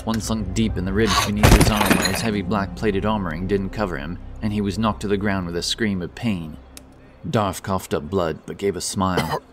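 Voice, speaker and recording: A man's voice: low voice, steady tone, normal speed, background noise. A man narrating a fantasy audiobook as a scripted dramatic story, with intense, theatrical delivery.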